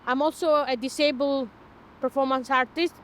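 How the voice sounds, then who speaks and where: A woman in her fifties from Greece, speaking English with a Greek accent in halting sentences with small grammar slips, as a documentary interviewee. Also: high-pitched, fairly even intonation, a little hiss or hum. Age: 50s. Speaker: interviewee, performance artist.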